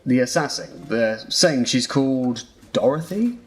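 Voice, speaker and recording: An actor playing a nervous, apologetic junior officer with a hesitant, deferential delivery in an audio drama. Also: male voice, mid-range voice, animated, a little slow, close to an everyday voice.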